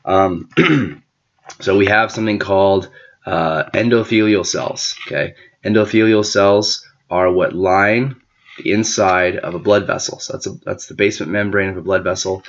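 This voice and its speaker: A man who is sick and losing his voice gives an informal whiteboard lecture, often clearing his throat and using filler words. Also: low voice, dull audio, a little hiss or hum. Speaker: teacher explaining a medical topic.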